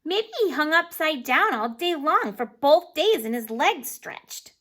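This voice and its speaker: A woman's voice: high voice, expressive, a little slow, bright, forceful tone, pitched above the performer's own voice, exaggerated voice. A woman voicing a short, eager, loyal schoolboy in a children's storybook, earnest and emphatic.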